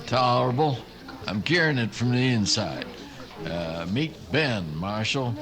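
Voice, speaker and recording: A man acts an easygoing cowboy from Texas. He talks slowly at middle pitch, folksy and dryly sardonic, in western radio-drama style. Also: lively intonation, a crowd in the background, close to an everyday voice. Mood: dry amusement. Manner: folksy, dry.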